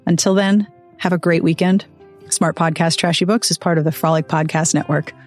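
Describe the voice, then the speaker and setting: A woman podcast host speaks in a playful, joking way at a mid pitch. Faint music plays quietly under her voice. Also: fairly even intonation, moderate pace. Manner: playful, jokey. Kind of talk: podcast interview and outro.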